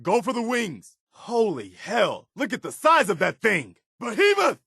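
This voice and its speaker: A man's voice: high voice, huge pitch swings, measured pace, vocal fry, pushed and bright, husky, no room sound, clean studio sound, exaggerated voice. A man playing an earnest, principled militia soldier companion in a video game, loyal and battle-weary, speaking direct military phrasing in scripted dialogue. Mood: alert, urgent battle shouts.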